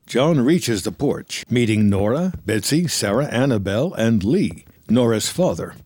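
Slow, husky male narrator. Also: medium pitch, big pitch swings, a little mellow, dry recording. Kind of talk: scripted screenplay narration of action.

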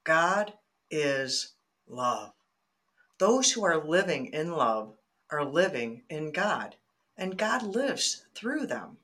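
A woman's voice: low voice, slow. A woman from Michigan, a guest on a faith podcast, chatting earnestly and reading scripture aloud. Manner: earnest, animated, laughs while telling stories.

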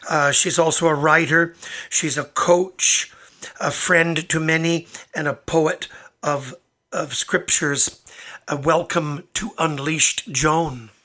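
A man with a slightly raspy voice, chatting informally as the host of a live radio interview show. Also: fairly high pitch, fairly even intonation, slow, bright, forceful tone. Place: radio studio. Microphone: studio microphone.

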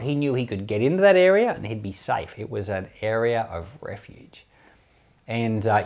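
A man's voice: mid-range voice, darkish tone. A man speaking as a pastor, giving informal Bible commentary with many restarts and closing in earnest prayer.

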